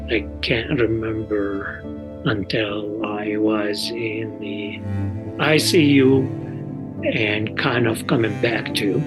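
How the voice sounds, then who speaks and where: A middle-aged or older man recounts his own experience in unscripted, plain-spoken recollection, calm and halting. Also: fairly low pitch. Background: soft guitar music.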